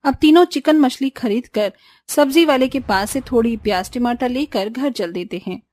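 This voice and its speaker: A woman's voice: a little high, normal speed, a little mellow. A woman reading scripted narration for a cartoon story.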